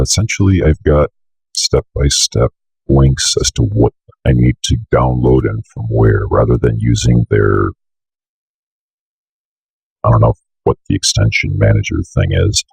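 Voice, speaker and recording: A young man with an extremely low voice, full of heavy vocal fry. He talks in an offhand, casual way, half to himself, as he walks through a software setup. The sound is dry and close. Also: no room sound, rough recording. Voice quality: gravelly, raspy. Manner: offhand, muttering to himself while working. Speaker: tutorial host. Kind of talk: casual unscripted software walkthrough.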